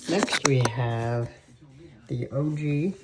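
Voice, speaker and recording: A man giving an informal, halting show-and-tell narration as a radio hobbyist. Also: mid-range voice. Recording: clearly noisy with clicks. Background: weather radio playing.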